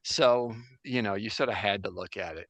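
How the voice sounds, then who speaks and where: A man's voice: on the low side, creaky, fairly bright tone, no echo. A man playing a rambling old Jesuit astronomer. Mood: wry. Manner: halting, full of restarts and fillers, joking anachronisms. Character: 17th-century Jesuit astronomer priest, man, very old, casual. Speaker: guest in role-play. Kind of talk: improvised in-character interview on a video call. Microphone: video call.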